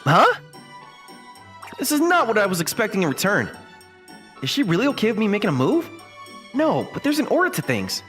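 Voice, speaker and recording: Man acting an animated, earnest young anime guy. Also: high voice, brisk, long pauses, soft background music, heavily acted. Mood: flustered. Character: earnest, easily flustered young man, considerate and shy. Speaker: voice actor and narrator in a manga dub. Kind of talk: acted dialogue and first-person narration.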